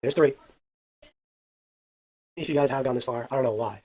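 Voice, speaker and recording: Husky-voiced man calling a game. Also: medium pitch, moderate pace, mellow. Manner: counts hits aloud, self-deprecating asides. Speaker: video game commentator. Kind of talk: casual gameplay commentary. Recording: faint background music.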